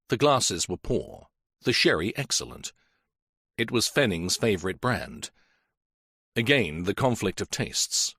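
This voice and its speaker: A man narrating a mystery audiobook in a measured, expressive reading. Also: fairly low pitch, slightly rough, dry recording, studio quality. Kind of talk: scripted story narration.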